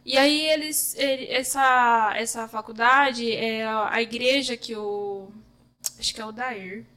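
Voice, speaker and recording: A woman's voice: fairly high pitch, steady tone, takes it slowly, bright, forceful tone. A woman from São Paulo state with a Brazilian Portuguese accent, answering interview questions in a slightly hesitant way. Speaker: guest, music teacher. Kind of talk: casual interview.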